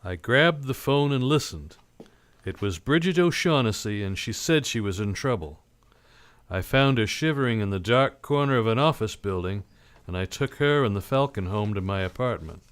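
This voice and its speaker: A wry, world-weary private detective from a vintage radio noir, acted by a man. His voice sits fairly low, and his delivery is terse, dry and deadpan. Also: normal speed, clean tone, natural voice. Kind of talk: old-time radio drama, first-person noir narration.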